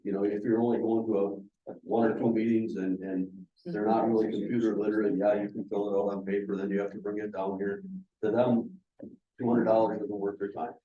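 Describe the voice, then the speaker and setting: A man's voice: lowish voice, little pitch movement, fairly quick. A man in a committee meeting laying out his practical reasoning at length. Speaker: committee member. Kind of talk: casual committee discussion. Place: county meeting room. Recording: dull echoey room sound from far off.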